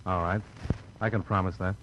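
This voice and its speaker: Low-voiced hard-boiled American radio investigator, played by a man. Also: fairly even intonation, moderate pace, muffled recording, background noise, close to an everyday voice. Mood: curt, skeptical. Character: hard-boiled male insurance investigator, wry and tough. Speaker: detective lead and narrator. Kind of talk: 1950s American radio detective drama acting and narration.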